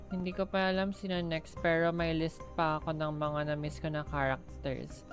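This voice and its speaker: A woman's voice: deep voice, flat delivery, fairly quick. A woman with a Filipino accent chatting casually on a game livestream, switching between languages. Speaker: game streamer. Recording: game music faintly behind.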